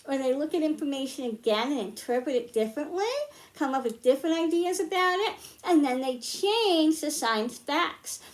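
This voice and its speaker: A woman presenting a science storytime for young children, explaining things in simple, instructive phrases. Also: sits high. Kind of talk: children's science lesson, spoken to young viewers.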